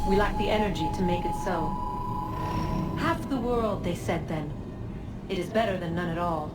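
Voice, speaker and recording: An actress playing an ancient being of a lost civilization in a video game, grave, formal and bitter in her measured monologue. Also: medium pitch, recorded from a distance, heavy background noise, natural voice.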